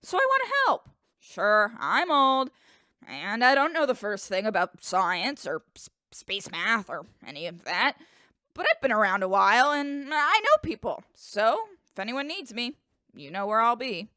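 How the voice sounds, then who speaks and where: A spry, blunt old woman in her eighties, with a bright, forceful voice that swings up and down. She is folksy and feisty, a radio drama character eager to pitch in. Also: big pitch swings, measured pace, dry recording, clean studio sound, exaggerated voice. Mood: feisty, plainspoken.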